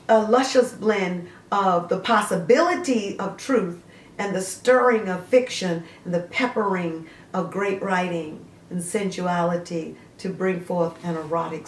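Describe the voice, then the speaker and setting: Slow-talking lady raving about books, lots of ums, echoey room sound. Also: on the low side. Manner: gushing, slow and deliberate, with frequent fillers. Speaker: book reviewer hosting a series. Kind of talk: casual book review with read-aloud excerpts. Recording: roomy echo.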